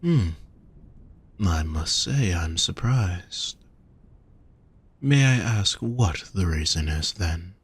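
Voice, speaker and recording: A man playing a gentle, teasing male demon companion in a roleplay audio, speaking courteously with genteel endearments to the listener. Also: low-pitched, expressive, slow, long pauses, breathy edge, heavily acted. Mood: teasing, curious.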